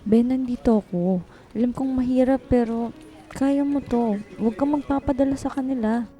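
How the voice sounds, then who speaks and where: A supportive female coworker played by a woman in a radio drama, with a fairly high but very soft-toned voice. She is protective and consoling, over faint music. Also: moderate pace, lightly acted. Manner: consoling, indignant on friend's behalf.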